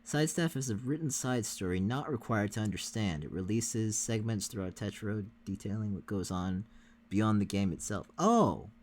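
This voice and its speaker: A man's voice: lowish voice, normal speed. A man co-hosting a reaction livestream, chatting casually with the viewers. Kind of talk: casual chat with stream viewers.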